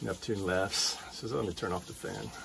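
A man's voice: low-pitched, normal speed, creaky. Chatty sailor man over engine noise. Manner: relaxed, chatty, with frequent fillers. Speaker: sailing vlogger. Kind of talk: casual vlog narration. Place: outdoors on a sailboat at sea. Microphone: handheld phone camera at arm's length. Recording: loud engine and wind noise on deck. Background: boat engine and wind noise.

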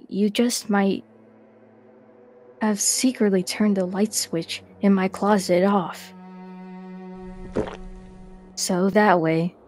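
A woman's voice: on the low side, darkish tone. A woman voicing a coy, suspicious young woman who probes and teases in a fan dub of a comic. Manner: slow, insinuating, teasing.

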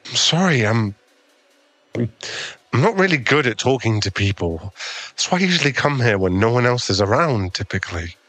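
A man's voice: mid-range voice, fairly bright tone, dry recording. A man playing an awkward, kind young gym owner with a crush in an ASMR boyfriend roleplay, speaking in an earnest, hesitant, stammering way. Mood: shy, friendly.

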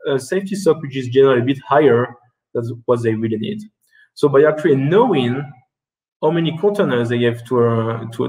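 A man's voice: medium pitch, darkish tone, low-quality recording. Man presenting over a video call. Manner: halting, many restarts and fillers. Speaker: keynote speaker. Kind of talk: webinar presentation and Q&A answers. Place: indoor room.

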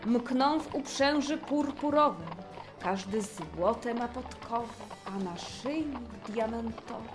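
A woman narrating a rhymed fairy tale for children in an expressive storytelling manner. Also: fairly high pitch.

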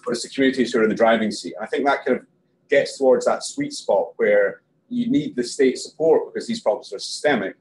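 A man in his thirties with a Scottish accent, explaining policy slowly and carefully on a panel discussion in a small meeting room, picked up by a room camera on a video call. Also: medium pitch, slight background noise, low-quality recording. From Scotland. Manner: measured, explanatory, with filler restarts. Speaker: panel guest.

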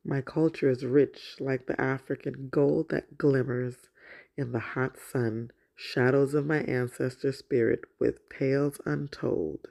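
A woman, around her thirties, speaking as the host of a children's story time, in an expressive storytelling manner. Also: extremely low pitch, a little slow, creaky. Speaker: host of a children's read-aloud show. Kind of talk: children's story time, picture book read aloud.

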